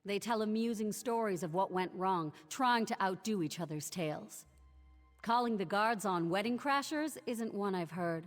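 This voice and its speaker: A woman's voice: mid-range voice, animated, normal speed, close to an everyday voice. Haughty noblewoman by an actress, long reverb. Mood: haughty, musing. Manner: haughty, cutting. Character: haughty, powerful noblewoman bride, scornful and composed. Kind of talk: video game character acting. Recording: long hall reverb, faint music.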